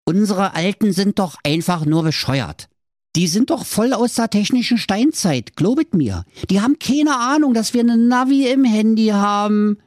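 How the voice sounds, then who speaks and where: Chubby teenage fairy-tale brother, Berlin accent, cheeky know-it-all, very animated, acted by a man. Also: medium pitch, measured pace, few pauses, dry recording, clean studio sound, pitched above the performer's own voice. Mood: dismissive.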